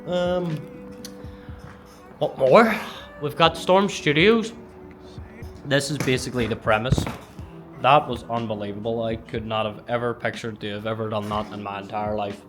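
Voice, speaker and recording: A man's voice: medium pitch, steady tone, moderate pace, pauses often, over music. A man with a Northern Irish accent giving a chatty, rambling vlog tour of an office, filmed on a handheld gimbal camera. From Northern Ireland. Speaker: gaming vlogger. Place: indoor office space.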